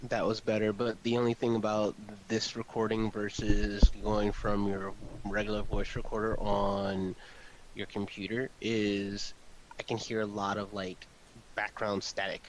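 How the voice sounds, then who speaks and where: A man's voice: on the low side, completely flat delivery, unhurried, slight background noise, low-quality recording. A man chatting casually as he directs and edits voice recordings, speaking informally and often saying "like". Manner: informal, swearing, often says "like". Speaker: voice-over director and audio editor. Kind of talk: casual collaborative work chat.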